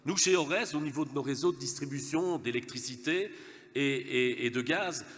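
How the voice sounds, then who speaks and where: A man's voice: medium pitch, moderate pace, fairly bright tone, big-room sound. A man from Verviers with a Belgian French accent, nasal from a cold, giving a formal conference speech with light humour and frequent stammered restarts. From Verviers, eastern Wallonia, Belgium. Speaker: speaker from a gas and electricity network company.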